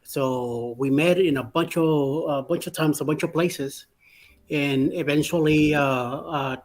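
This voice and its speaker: Rambling man from Puerto Rico. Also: on the high side. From Puerto Rico and Miami. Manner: rambling, hesitant storytelling with many fillers. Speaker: panel guest. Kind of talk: casual chat.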